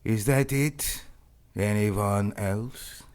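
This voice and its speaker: Slow, menacing crime boss. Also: male voice, on the low side, a little mellow, smooth. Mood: cool menace. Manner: slow, deliberate, coolly threatening. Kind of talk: audio drama acting, 1940s pulp thriller.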